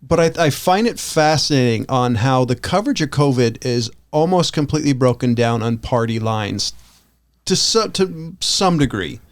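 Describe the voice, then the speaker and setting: A man co-hosting a podcast, talking through his opinions casually in a halting, rambling way with frequent restarts. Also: medium pitch, lively intonation. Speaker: podcast co-host.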